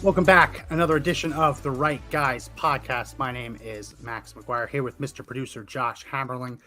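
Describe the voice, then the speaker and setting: A man hosting a podcast, brisk and upbeat as he introduces topics and his guest in casual chat. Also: mid-range voice, fairly even intonation, sharp tone, faint music behind. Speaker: podcast host.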